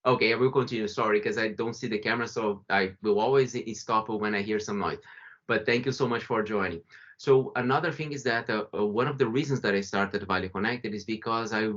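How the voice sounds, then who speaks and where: A man's voice: lowish voice, a little fast, a bit bright. A man from Brazil with a Brazilian accent, giving a conversational webinar presentation over a video call, often restarting and correcting himself. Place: remote call from home or office. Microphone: video call microphone. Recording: muffled video call audio.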